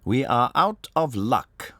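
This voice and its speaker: Man playing gentleman detective, shrewd, courteous, measured, animated pitch, storybook character, dry close sound. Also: dry recording, exaggerated voice. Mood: grim. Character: shrewd, bland, smooth-talking gentleman detective. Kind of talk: audiobook character voice.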